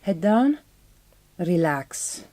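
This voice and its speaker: An actress playing a direct, kind but quietly wounded Hungarian migrant farm worker in a radio drama, with a Hungarian accent and careful, reassuring speech. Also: female voice, fairly low pitch, very animated, extremely slow, no room sound, heavily acted. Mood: eager, reassuring.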